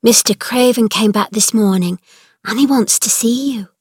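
A woman's voice: fairly high pitch, moderate pace, husky, dry recording, clean studio sound. A woman voicing a friendly young maid passing on news eagerly.

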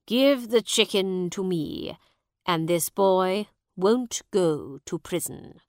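A woman voicing a stern, pompous male judge with a gravelly voice in a storybook. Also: on the low side, expressive, slow, no echo, studio quality. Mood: commanding. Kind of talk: storybook character dialogue.

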